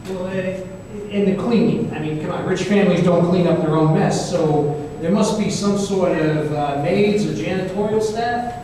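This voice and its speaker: A man playing a flustered, worldly confidant in an old-time radio drama, stammering and halting as he talks. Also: sits high, dark tone, lightly acted. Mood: reassuring, curious. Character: flustered, worldly male confidant, informal and reassuring. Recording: echoey hall from far off, faint noise.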